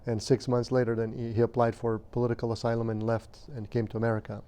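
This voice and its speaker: Calm, mellow-voiced man from Romania. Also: medium pitch. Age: 50s. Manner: measured, hesitant with many fillers. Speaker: interviewee. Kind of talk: recounting childhood memories.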